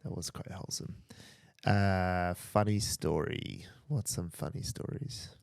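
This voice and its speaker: Man, podcast co-host, croaky voice, dry teasing banter, very low pitch, dry sound. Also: measured pace, a little mellow, no echo. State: croaky voice after a night out. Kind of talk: casual chat.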